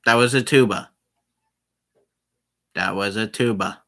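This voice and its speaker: A man's voice: lowish voice, measured pace, sharp tone. A man casually reading a visual novel aloud, throwing in joking, swearing asides. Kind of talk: casual let's-play narration.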